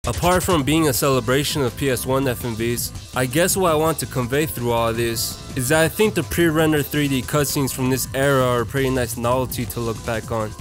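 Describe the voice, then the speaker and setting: A man's voice: medium pitch, fairly quick, few pauses. Casual young man over faint music. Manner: irreverent, profane, conversational. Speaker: video essayist. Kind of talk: casual, slangy video essay narration.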